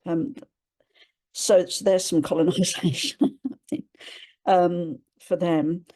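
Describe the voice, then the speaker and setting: A woman's voice: fairly low pitch, fairly even intonation, takes it slowly, mellow. A woman from England, sharing a personal reflection on a video call in a hesitant way, with frequent restarts as she searches for words. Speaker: webinar participant. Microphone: computer mic on a video call.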